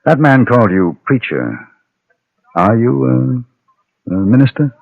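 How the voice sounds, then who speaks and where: An actor playing a composed, steely gentleman gunfighter with polite, formal diction in an old-time radio Western. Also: medium pitch, sing-song, measured pace, vocal fry, narrow, tinny audio, soft background music, full character voice. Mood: calm concern. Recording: noisy vintage radio recording.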